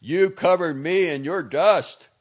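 A man voicing a troubled young male artist who speaks quietly and low. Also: very animated, normal speed, gravelly, husky, highs cut off, heavily acted. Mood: yelling. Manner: quiet and subdued.

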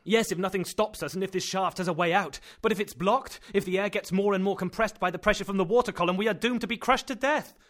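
A man voicing a terrified young man who shouts frantically. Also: medium pitch, lively intonation, fast talker, few pauses. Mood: alarmed. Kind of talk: audiobook character reading.

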